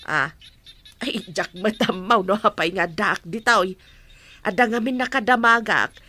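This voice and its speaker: A woman's voice: medium pitch, unhurried, bright, forceful tone, no room sound. A woman playing a concerned, questioning middle-aged mother in a radio drama, with a Filipino Ilocano accent. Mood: questioning, agitated.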